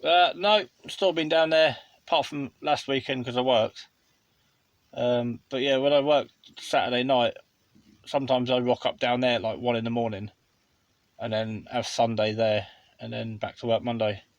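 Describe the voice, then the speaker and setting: A man's voice: medium pitch, steady tone, moderate pace, no room sound. A British man chatting casually as a vlogger and radio hobbyist. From United Kingdom. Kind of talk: casual chat. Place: inside a parked motorhome.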